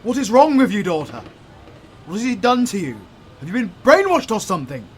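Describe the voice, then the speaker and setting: A man plays a panicked British military father in an audio roleplay. He talks in rapid, urgent bursts, with a raised, agitated pitch. He is forceful and exasperated. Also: sing-song, soft background music. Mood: alarmed, disgusted. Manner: urgent, rapid, exclaiming, swearing. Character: panicked, gruff military father defying a werewolf.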